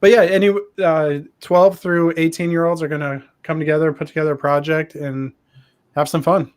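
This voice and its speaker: A man's voice: on the high side, little pitch movement, moderate pace. A man casually demonstrating a project as a guest, friendly and plain-spoken. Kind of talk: casual show-and-tell demo.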